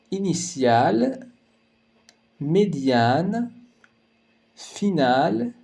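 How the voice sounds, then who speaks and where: A man walks learners through a language lesson slowly and methodically, pausing with small hesitations. His voice sits a little high but sounds mellow and warm. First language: Persian. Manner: slow, careful, explanatory delivery with hesitation fillers. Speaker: language teacher.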